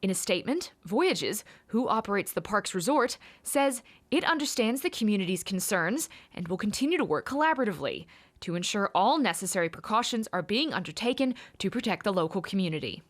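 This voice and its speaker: A woman narrates a scripted news report in an Australian accent. Her pitch is middling but moves around a lot, giving the read lively intonation. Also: brisk.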